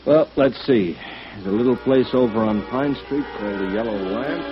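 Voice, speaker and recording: A man's voice: medium pitch, moderate pace, soft background music, lightly acted. Actor as nervous, pleading man in radio noir. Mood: hesitant, subdued. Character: anxious, pleading, downtrodden male musicians' agent under suspicion. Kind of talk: 1950s radio drama acting. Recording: muffled old radio broadcast recording.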